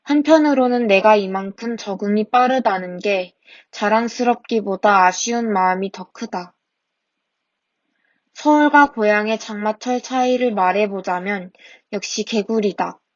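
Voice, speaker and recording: A woman in her twenties, a student podcast host, reading her own personal essay aloud in a soft, reflective way, now and then stopping to correct a word. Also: mid-range voice, measured pace, no echo. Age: 20s. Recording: dry, dull lo-fi home mic.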